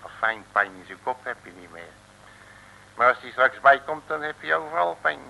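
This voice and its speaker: An actor playing a brash, bluffing, jovial detective with chummy, boastful patter in a mid-century comic radio serial. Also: male voice, mid-range voice, expressive, moderate pace, vocal fry, sharp tone, exaggerated voice, low-quality recording. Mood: smug, amused. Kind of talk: 1950s-60s comic radio serial acting. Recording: hissy vintage radio recording.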